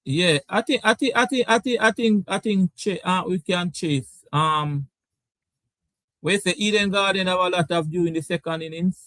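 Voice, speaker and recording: A man with a Caribbean English accent hosting a sports debate show, animated and repeating his words. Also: high voice, normal speed, dry recording. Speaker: show host. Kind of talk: lively sports debate talk.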